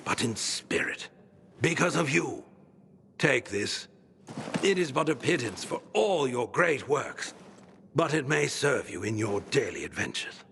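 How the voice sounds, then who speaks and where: A man's voice: medium pitch, vocal fry, fairly bright tone, breathy edge, long reverb tail, exaggerated voice. An actor playing a grandiose, theatrical old male scholar who speaks in pompous, flowery phrases. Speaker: book-collecting patron.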